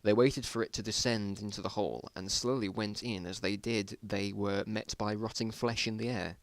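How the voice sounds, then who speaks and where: A man's voice: fairly low pitch, fairly even intonation, moderate pace, dry recording. Wry male story narrator, dry sound. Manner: wry, self-mocking. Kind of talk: amateur dramatic story reading with wry asides.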